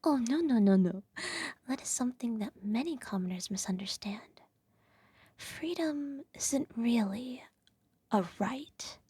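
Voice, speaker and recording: Woman doing an evil empress whispering a bedtime story asmr style, super breathy, real slow, regal n smugly self-righteous but soothing. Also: on the high side, expressive, no echo, full character voice. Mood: smug, gentle. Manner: regal, measured, gently condescending. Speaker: ASMR roleplay performer.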